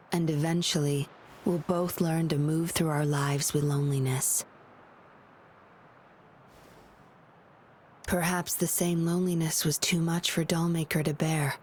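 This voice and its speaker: An actress plays a guarded woman patroller from a video game, speaking in a low voice. She is composed and wry, with a solitary streak. Game ambience runs noticeably behind her. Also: a little mellow, lightly acted. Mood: melancholy, reflective. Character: guarded, teasing female patroller with a lonely streak. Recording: clear ambient noise behind voice.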